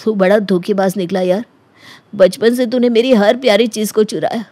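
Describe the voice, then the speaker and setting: A woman voicing an earnest, wronged young man who talks reproachfully in an animated story. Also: mid-range voice, normal speed, no echo, close to an everyday voice. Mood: hurt, accusing. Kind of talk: Hindi animated story dialogue.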